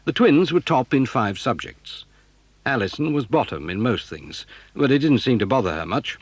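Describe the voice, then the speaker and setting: A man with a slightly husky voice, narrating a scripted children's story. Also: medium pitch, animated, moderate pace, dry recording.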